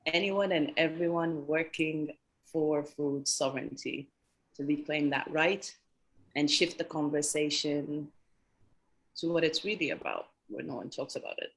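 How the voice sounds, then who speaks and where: Expressive woman from Sudan. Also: sits low, measured pace, poor audio. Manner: expressive, laughs between phrases. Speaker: activist collective member, panelist. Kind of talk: warm self-introduction. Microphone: video call.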